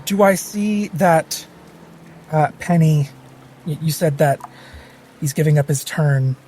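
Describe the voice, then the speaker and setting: A man's voice: a little high, slow pace, warm tone, a little hiss or hum. A man playing in a tabletop roleplaying game, talking casually and narrating what his character does.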